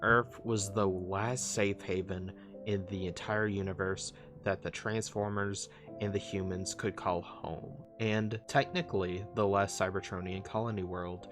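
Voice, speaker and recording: A male narrator with a fairly low voice delivers a scripted, dramatic retelling. Guitar music runs clearly beneath him throughout. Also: fairly even intonation, a little slow, few pauses. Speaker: video essayist, narrator. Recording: loud music under the voice.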